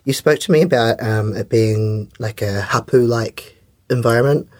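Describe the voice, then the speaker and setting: A man hosting an interview, putting careful, measured questions and using some Māori words. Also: mid-range voice, a little mellow, no room sound. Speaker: interviewer, podcast host.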